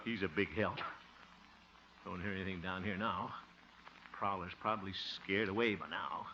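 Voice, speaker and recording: An actor playing a flustered, blustering middle-aged man in a 1950s radio comedy, excitable and easily exasperated. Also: deep voice, normal speed, natural voice. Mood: muttering. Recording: noisy, dull restored 1950 radio recording.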